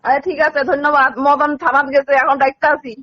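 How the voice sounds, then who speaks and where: A woman's voice: high voice, level tone, a little fast. A middle-aged woman answering a phone call in a curt, suspicious manner, on a muffled recording. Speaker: prank call recipient. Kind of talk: casual phone conversation.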